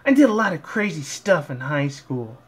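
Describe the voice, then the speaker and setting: A man voicing a brash, boastful young female cartoon pegasus pony with drawn-out delivery. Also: fairly high pitch, very animated, husky.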